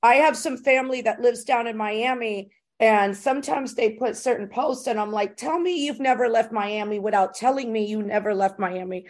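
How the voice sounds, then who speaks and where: A woman's voice: a little high, moderate pace, few pauses. A woman hosting a casual podcast interview, chatting informally with slangy phrasing. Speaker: podcast host interviewing a comedian.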